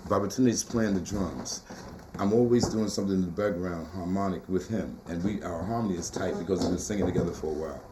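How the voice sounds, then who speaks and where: A middle-aged man with a tenor-baritone voice, talking in a casual interview as a poet and teacher, animated and emphatic with rhythmic repeated phrases. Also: fast, background noise.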